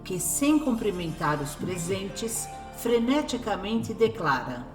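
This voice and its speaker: A woman's voice: medium pitch, big pitch swings, slow pace, echoey room, music in the background. A woman narrating a radio drama from a script.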